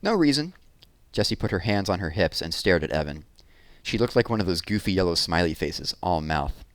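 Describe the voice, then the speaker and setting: A man reading a children's chapter book aloud as narrator, in an expressive storytelling style. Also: low voice, fairly quick, no room sound.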